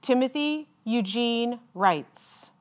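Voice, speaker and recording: A woman with a slightly high voice serves as a formal ceremony announcer. She reads names extremely slowly, enunciating each one deliberately and leaving measured pauses. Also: animated. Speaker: commencement announcer reading graduates' names.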